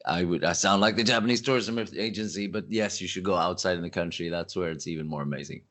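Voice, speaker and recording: Brief: male podcast guest, low deep voice, loose animated delivery, lots of self-corrections. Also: fast. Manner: animated, rambling, frequent restarts. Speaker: industry analyst guest. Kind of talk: casual podcast conversation.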